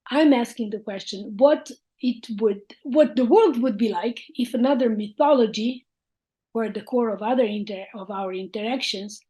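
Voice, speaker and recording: A woman lecturing in English with a Slovene accent, speaking in a slow, reflective way with frequent hesitant fillers. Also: fairly high pitch, warm tone, rough recording. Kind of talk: academic lecture.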